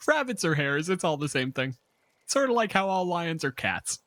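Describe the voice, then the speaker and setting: A man's voice: a little high, big pitch swings, moderate pace, a bit bright, dry recording. A man running a tabletop game as game master, narrating in a conversational, joking way.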